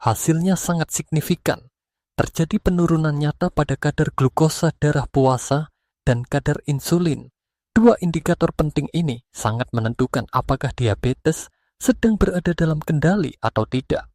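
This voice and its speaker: A man's voice: a little high, normal speed, darkish tone, no echo, clean studio sound. A man with an Indonesian accent delivering scripted narration for a health explainer, in an earnest, persuasive manner.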